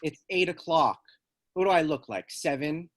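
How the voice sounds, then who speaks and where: Woman as cocky boy, very low, swooping. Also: animated, moderate pace. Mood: cheeky, bargaining. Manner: cheeky, challenging. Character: cocky, scheming eight-year-old neighbor boy. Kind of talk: screenplay table read.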